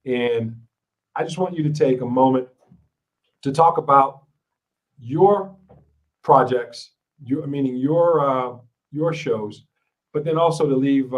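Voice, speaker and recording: A man's voice: mid-range voice, distant mic, lo-fi sound. A man from Ohio hosting an interview show, casual and gracious, often agreeing with his guest. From Ohio, USA. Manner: affirming, frequent agreement, gracious. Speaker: podcast host.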